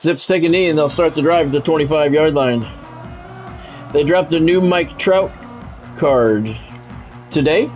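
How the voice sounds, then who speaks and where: A man's voice: on the high side, measured pace, long pauses, highs cut off, soft background music. A middle-aged American man doing play-by-play of a football video game on a livestream, mixing polished, clipped announcer calls with casual chat to viewers.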